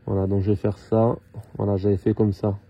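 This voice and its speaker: A man talking casually and haltingly through hands-on work, thinking aloud as he goes. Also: lowish voice, flat delivery, husky, muffled recording. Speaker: car mechanic hobbyist filming his own repair. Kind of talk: casual hands-on tutorial narration.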